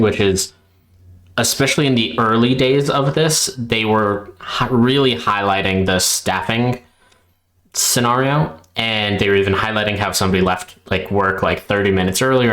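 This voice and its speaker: Guy reacting and explaining stuff off the cuff, kinda roundabout, lowish voice, echoey room. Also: fairly bright tone. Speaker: reaction video host. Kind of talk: unscripted spoken commentary.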